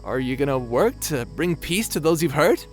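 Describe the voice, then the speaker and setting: A man's voice: a little high, animated, normal speed, faint music behind, natural voice. A man playing a youthful-seeming, centuries-spanning space pirate in an audio drama, casual, teasing and reassuring.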